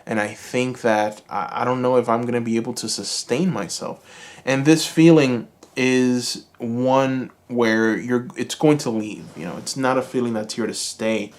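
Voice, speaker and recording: A Cuban American man giving a calm, reassuring tarot reading, speaking slowly and soothingly to his viewers. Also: medium pitch. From United States. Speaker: tarot reader. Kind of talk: pick-a-card tarot reading.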